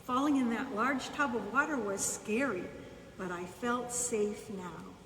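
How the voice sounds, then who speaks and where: A woman reading a children's bedtime story aloud in the first person, unhurried, pausing between short phrases. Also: fairly high pitch, fairly bright tone. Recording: echoey reverberant room, distant mic, faint noise.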